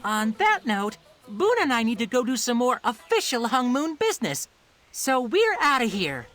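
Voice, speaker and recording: A woman's voice: a little high, big pitch swings, normal speed, fairly bright tone, faint music behind. A woman playing a cocky, bratty kid in a video game, boastful, haughty and taunting. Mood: flustered bluffing. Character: cocky bratty kid boasting as self-declared top apprentice. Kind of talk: video game character acting.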